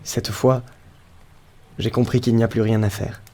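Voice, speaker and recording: Man playing a mellow, wily old blacksmith. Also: mid-range voice, flat delivery, measured pace, close to an everyday voice. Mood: resigned. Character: wily, stubborn old male village blacksmith. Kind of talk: French literary audio drama.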